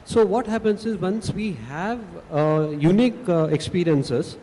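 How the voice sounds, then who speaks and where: A man's voice: sits high, unhurried, mellow. A man with an Indian English accent answering questions on a conference panel, hesitant with many fillers and restarts. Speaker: panelist from a film city destination. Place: conference hall on stage. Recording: echoey hall with faint noise.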